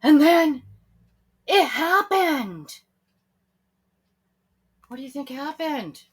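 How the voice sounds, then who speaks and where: A woman's voice: high voice, big pitch swings, drawn-out words. A woman reading a children's story aloud expressively, speaking to her young listeners in asides.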